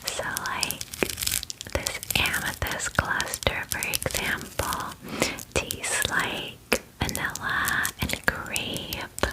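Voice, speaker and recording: A woman voicing a chatty, clingy female dragon in a breathy ASMR whisper, gushing casually like a best friend in a fantasy roleplay. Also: very slow, poor audio. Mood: doting, bubbly. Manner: gushing valley-girl chattiness, filler-heavy, casual.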